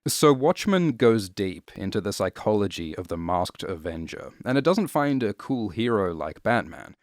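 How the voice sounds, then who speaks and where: A man delivers scripted explanatory narration in a low-pitched voice. His manner is deadpan and wry, slipping in casual asides. The recording is close and dry, with no room sound. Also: dry recording. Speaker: host and narrator of explainer videos.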